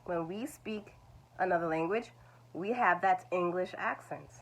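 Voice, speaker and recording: A woman's voice: on the low side, measured pace, rough recording. A woman, teaching language learners in a reassuring, repetitive way. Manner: reassuring, repeats "it's okay". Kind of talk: talking-head video lesson.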